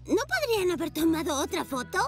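Boisterous kid motorbike, very high, animated pitch, playful, cartoon dub, music underneath. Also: a little fast, heavily acted. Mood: cheeky. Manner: playful, exclamatory. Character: cheeky, boisterous young child motorbike. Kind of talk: children's cartoon dubbing.

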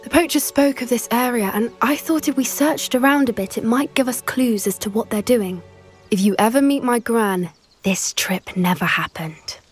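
High-voiced British schoolgirl witch. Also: normal speed, husky, soft background music. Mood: earnest, concerned. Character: earnest, caring teenage schoolgirl witch, animal protector. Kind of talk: video game cutscene acting.

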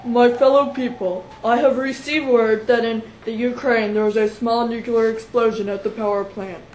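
A formal Soviet leader reassures the public, acted by a teenage girl in a school play. The voice is fairly high. The room echoes, with faint noise. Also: fairly even intonation, moderate pace, a little mellow, close to an everyday voice. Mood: formal, reassuring. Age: young teen. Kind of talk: scripted public announcement in school drama.

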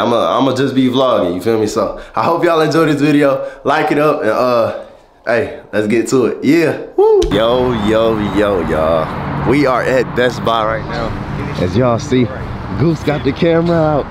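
A man's voice: medium pitch, very animated, a little slow, reverberant, a little hiss or hum. A young man with a stuffy nose, talking casually to a handheld camera in laid-back slang. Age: young adult. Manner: laid-back slangy chatter, hyped greetings. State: congested. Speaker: vlogger. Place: small room indoors, then a big electronics store. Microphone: handheld camera at arm's length.

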